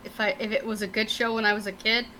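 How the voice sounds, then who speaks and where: A woman's voice: mid-range voice, level tone, fast talker, sharp tone, poor audio. A woman chatting casually on a podcast panel in a slangy way, swearing and often saying "like". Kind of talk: casual chat. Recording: clear background noise.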